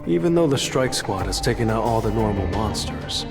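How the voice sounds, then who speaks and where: An actor playing a calm, self-assured young monster hunter in a game cutscene, speaking in a reserved, deliberate way. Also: male voice, fairly low pitch, creaky, music in the background. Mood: measured, curious. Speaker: voice actor playing the game's protagonist. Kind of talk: English game cutscene acting with inner monologue.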